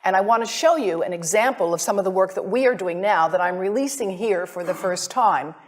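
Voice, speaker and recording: An American woman giving an academic presentation as a visiting scientist, speaking in a deliberate, emphatic way straight to her listeners. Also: mid-range voice. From United States.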